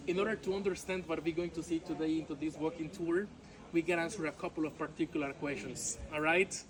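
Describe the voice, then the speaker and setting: A man's voice: fairly high pitch, rough recording. A man whose first language is Yucatec Maya, speaking English with a Mexican Spanish accent as a tour guide, chatty and jokey, often saying "my friends" and "all right?". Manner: jokey, laughs at his own jokes. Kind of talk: outdoor walking tour talk. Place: outdoors among ruins, tour group around. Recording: outdoor crowd of tourists behind.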